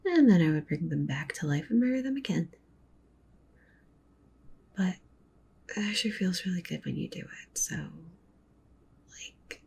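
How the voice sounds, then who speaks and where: Girl voicing a clingy yandere wolf witch gf, asmr roleplay, lowish soft voice, super breathy and whispery, sweet but threatening. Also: full character voice. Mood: doting, blissful. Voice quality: breathy, often dropping into a whisper. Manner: cooing, doting, with possessive menace. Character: possessive, doting young wolf witch girlfriend, dominant and obsessive.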